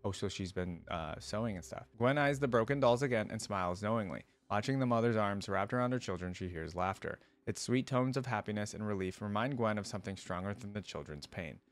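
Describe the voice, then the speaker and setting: Low-voiced man hosting a casual reaction. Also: brisk, few pauses. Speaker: reaction video host. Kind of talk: casual commentary and reading a story aloud.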